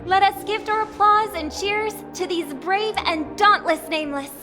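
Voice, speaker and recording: A woman's voice: extremely high pitch, normal speed. An actress playing a poised young woman who gives a formal, gracious ceremonial speech in a video game. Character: poised young woman, festival hostess of a ruling family. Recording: orchestral game music behind. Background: music.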